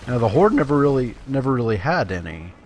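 A man's voice: medium pitch, very animated, fast talker, darkish tone, slight background noise. A man giving casual let's play commentary in a laid-back, musing way. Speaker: gaming let's play host.

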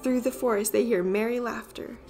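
A woman with a fairly high voice narrates a children's storybook expressively. Music plays behind her as she reads. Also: steady tone, a little fast, creaky, darkish tone. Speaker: storyteller reading a picture book aloud.

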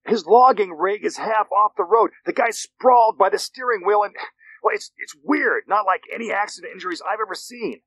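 An alarmed American police dispatcher acted by a man reading an audiobook. The pitch is raised well up, and the delivery is brisk, urgent and professional. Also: a bit bright, breathy edge, no echo, full character voice, rough recording. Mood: uneasy. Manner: urgent, clipped.

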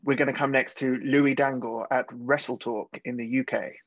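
A man's voice: medium pitch, level tone, normal speed, dry recording. Dull lo-fi male moderator. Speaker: press call moderator. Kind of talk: brief formal announcements. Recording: dull lo-fi conference-call line.

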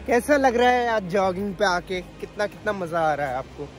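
Lively young man vlogging on a beach. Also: sits high, normal speed, faint music behind. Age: young adult. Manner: lively, playful, teasing. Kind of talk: casual vlog chat. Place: outdoors on a beach at dawn. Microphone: phone camera at arm's length.

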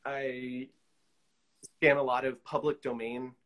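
A man's voice: medium pitch, level tone, takes it slowly, fairly bright tone. Conversational man chatting as interview guest. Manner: conversational, frequent "you know" asides. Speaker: interview guest, collage artist. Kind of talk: casual interview chat. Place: small indoor room with pinned pictures, video call.

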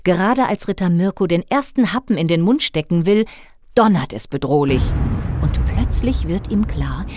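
Woman narrating, lively and low-pitched. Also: moderate pace, no room sound, studio quality. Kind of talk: scripted story narration for children.

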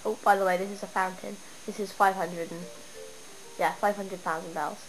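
A young woman with a stuffy cold, chatting and rambling excitedly as she shows off her game town at home. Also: mid-range voice, moderate pace, faint music behind. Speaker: gamer showing her town. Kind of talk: casual game walkthrough. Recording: noisy home camera recording. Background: steady background noise.

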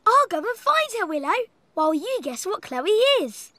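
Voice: extremely high pitch, very animated, moderate pace, no echo, heavily acted. An actor voicing a cheerful, curious young cat in a children's cartoon, friendly and sing-song, talking directly to young viewers. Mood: friendly, explaining. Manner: bright, clearly paced, sing-song, asking the viewer.

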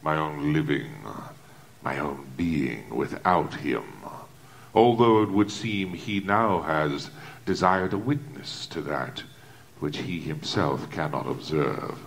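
A man playing a menacing, articulate killer alter ego with formal, deliberate, contemptuous speech in a Gothic audio drama. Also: very deep voice, full character voice. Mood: cold, formal.

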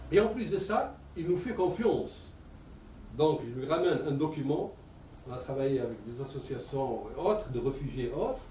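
A man in his sixties with an Arabic accent, giving an animated public talk with many repeated words. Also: fairly high pitch, a little hiss or hum. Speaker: lecturer. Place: lecture room. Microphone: table stand mic. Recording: echoey room, mic at a distance.

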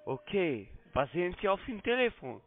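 A man's voice: high-pitched, very animated, moderate pace. A non-native German-speaking man working as a phone sales agent, bluntly pressing the listener over a muffled phone line. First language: not German. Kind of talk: pushy phone sales call.

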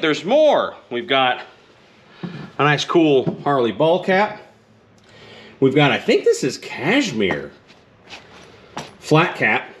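A man's voice: medium pitch, fairly bright tone, a little hiss or hum. A man in his thirties chatting casually in his home garage, joking and poking fun at himself while he works on a motorcycle. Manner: joking, self-deprecating, chatty. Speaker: motorcycle vlogger. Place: home garage with open door. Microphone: camera close up, sometimes set aside.

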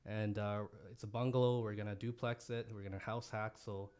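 Soft-spoken young man, earnest podcast guest. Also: lowish voice, level tone, a little fast, smooth. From Japan. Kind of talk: podcast interview. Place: office podcast studio. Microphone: studio mic on boom, headphones.

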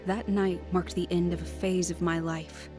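A woman's voice: lowish voice, little pitch movement, moderate pace, music in the background, close to an everyday voice. An actress playing a troubled woman haunted by fear of death, earnest and anguished in a radio drama. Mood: somber, reflective. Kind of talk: radio drama acting with first-person narration.

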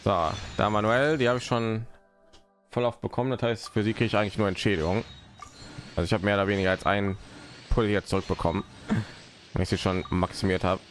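A man's voice: fairly low pitch, fairly even intonation, brisk, long pauses, soft background music. A man, streaming a game and rambling casually, muttering to himself as he plays. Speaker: live streamer. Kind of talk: casual gaming stream commentary.

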